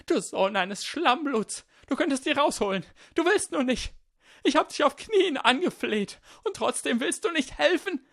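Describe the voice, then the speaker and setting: Man acting a high, desperate teenage boy. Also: very animated, moderate pace, gravelly, dry recording, studio quality, full character voice. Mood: shrieking rage. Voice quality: high, shrill. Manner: stammering pleas, spitting insults. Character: desperate teenage boy, pleading, turning to shrieking rage.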